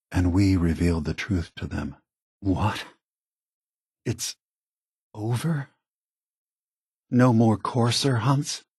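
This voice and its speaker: An ancient synthetic being who leads with gentle, weary idealism in a video game. The voice is husky and takes its time, halting and choosing words with earnest care. It is recorded very dry and close. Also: male voice, low-pitched, dry recording, full character voice. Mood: calm, reflective, then astonished, sombre. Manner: measured, halting, earnest, diplomatic. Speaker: leader of a synth refuge. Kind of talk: video game character dialogue.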